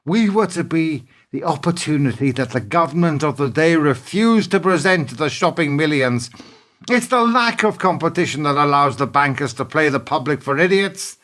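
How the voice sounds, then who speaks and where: A male actor plays an idealistic businessman in a radio drama. His voice sits high for a man, and he speaks in earnest, emphatic speeches, indignant and defiant about doing what is right. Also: lively intonation, normal speed, a bit bright. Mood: earnest, impassioned. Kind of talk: radio play acting.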